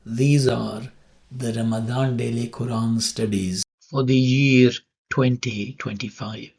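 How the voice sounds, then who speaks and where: Male announcer, mid-pitched, very flat intonation, scripted opening lines. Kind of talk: scripted introduction with opening invocation.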